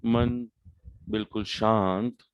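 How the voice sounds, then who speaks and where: A man with an Indian accent leading a guided devotional meditation, speaking in short, measured phrases with long pauses. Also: on the low side, fairly even intonation, smooth, no room sound.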